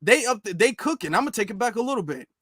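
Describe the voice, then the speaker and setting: A man's voice: high voice, big pitch swings, fast, fairly bright tone, no echo, studio quality. A man from the United States giving informal, emphatic political commentary on a live stream, repeating phrases for stress.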